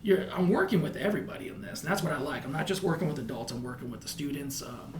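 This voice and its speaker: A man's voice: fairly high pitch, animated, fast talker. An American man in his thirties talking thoughtfully in a podcast interview as a school principal, often rephrasing as he goes. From United States. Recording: echoey room with faint background noise.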